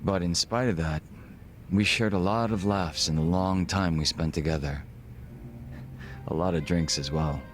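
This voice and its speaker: A man voicing a refined, reserved gangster in a video game cutscene, speaking in formal, measured, polite phrases. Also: low voice, slight background noise. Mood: wistful, composed. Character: refined, reserved Korean gangster, a former body double.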